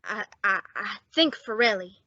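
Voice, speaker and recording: A woman playing a frightened, exhausted young man on the run in an old-time radio drama, halting and pleading. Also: mid-range voice, lively intonation, pushed and bright. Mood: scared, faltering. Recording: dull, muffled close dry sound.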